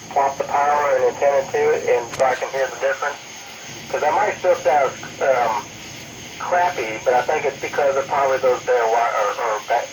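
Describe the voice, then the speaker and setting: Chatty man over noisy two-way radio. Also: on the high side. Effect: two-way radio transmission. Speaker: amateur radio operator. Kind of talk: casual radio chat. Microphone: radio transmission recorded off the speaker. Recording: noisy radio speaker picked up by phone.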